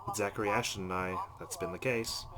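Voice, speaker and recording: Quick man narrating over loud noise. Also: fairly low pitch, steady tone. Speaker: let's play host. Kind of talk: reading visual novel narration aloud with asides.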